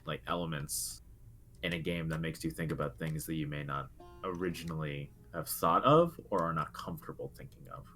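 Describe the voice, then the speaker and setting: A man's voice: extremely low pitch, brisk, pushed and bright, soft background music. A young adult man co-hosting a podcast, talking casually about video games in an earnest, reflective way. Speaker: podcast co-host. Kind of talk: casual video game discussion.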